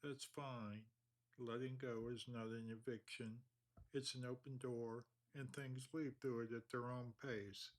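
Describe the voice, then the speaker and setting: A man leading a guided meditation, speaking slowly and calmly with long pauses. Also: mid-range voice. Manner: slow, calm, measured delivery with long pauses.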